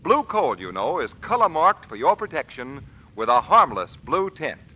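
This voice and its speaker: Casting: male vintage ad announcer, slightly high, forceful, emphatic sell. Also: very animated, moderate pace. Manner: emphatic, polished salesmanship. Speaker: radio commercial announcer. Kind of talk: 1940s radio ad read. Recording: noisy old radio recording.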